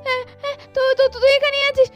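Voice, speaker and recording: A woman acts out a grieving village woman in an extremely high voice. She is anxious and tender, lamenting in halting, repeated phrases. The doll-cartoon delivery has faint music behind it. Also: completely flat delivery, highs cut off. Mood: startled, yearning. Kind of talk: amateur doll-story cartoon acting.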